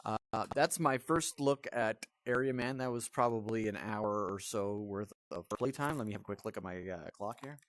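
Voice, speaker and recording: A man's voice: lowish voice, moderate pace, few pauses, a little hiss or hum. A man giving a casual, off-the-cuff game review as the channel's host, in a blunt, grumbling, exasperated manner.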